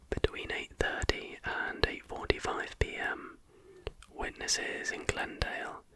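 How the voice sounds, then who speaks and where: An ASMR host reading a scripted account in a whisper, with unhurried, deliberate phrasing. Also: very high-pitched, bright, forceful tone, poor audio.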